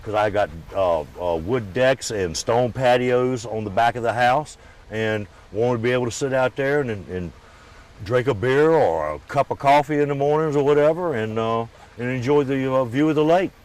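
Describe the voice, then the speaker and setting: A man's voice: mid-range voice, normal speed, darkish tone. Male resident, casual interview answers, slightly distant field recording.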